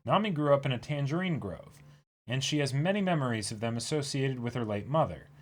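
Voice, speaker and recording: A man's voice: mid-range voice, animated, brisk. A man with a mid-range voice co-hosts a manga reading stream. He chats casually and slips into playful read-aloud.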